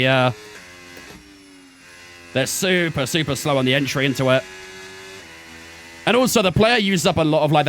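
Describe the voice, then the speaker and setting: A man's voice: medium pitch, fairly bright tone, slight background noise. A man in his twenties streaming a racing game, giving chatty running commentary and talking to his chat. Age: 20s. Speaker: gaming streamer. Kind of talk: casual live-stream commentary while racing.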